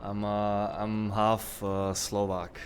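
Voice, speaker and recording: A young man with a Czech accent, chatting casually while streaming a game, halting and relaxed. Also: on the low side, soft background music. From Czech Republic. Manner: relaxed, halting, many fillers. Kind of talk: casual gameplay chat.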